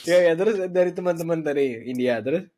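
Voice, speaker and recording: A man's voice: fairly high pitch, quick pace, warm tone. A man from Sumatra hosting a casual podcast chat, often agreeing and joking, with easy laughs. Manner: frequent agreeing backchannels, jokey, laughs easily. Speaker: podcast host.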